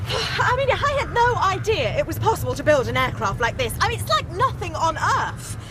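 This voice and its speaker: An actress playing an excitable, anxious woman aviator in a wartime radio drama, speaking with stammering, breathless urgency. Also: very high-pitched, moderate pace, noisy background. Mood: amazed.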